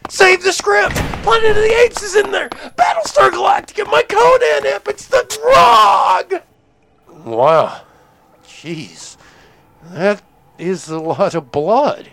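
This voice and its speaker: Male actor, excitable drama-queen writer, high-pitched, wildly swinging delivery, comedy audio drama. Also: takes it slowly, creaky, slight background noise, full character voice. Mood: dismayed.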